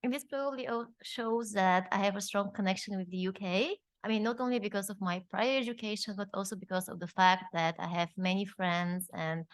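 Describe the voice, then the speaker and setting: Measured woman on a conference panel, dry sound. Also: mid-range voice, dry recording. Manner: measured, formal, with frequent hesitations. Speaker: panelist, tax policy advisor.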